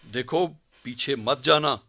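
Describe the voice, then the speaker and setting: A man's voice: medium pitch, slow pace, sharp tone. A man playing a coaxing army captain in a radio drama, heard muffled and at a distance. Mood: coaxing, cautioning. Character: enemy army captain coaxing a cornered young woman.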